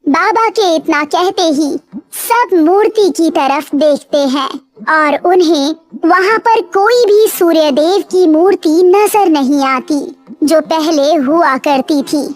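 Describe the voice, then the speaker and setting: A woman reads a children's moral tale as narrator. Her voice is extremely high, almost childlike, slowly paced and recorded very dry. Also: dry recording. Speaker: story narrator. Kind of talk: scripted children's story narration.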